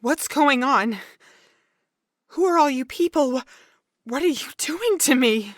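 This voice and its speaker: A high-voiced young woman in an audio drama, scared and indignant at the strangers around her, acted by a woman. The sound is dry and close. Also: animated, unhurried, no echo. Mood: confused, alarmed. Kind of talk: amateur audio drama acting.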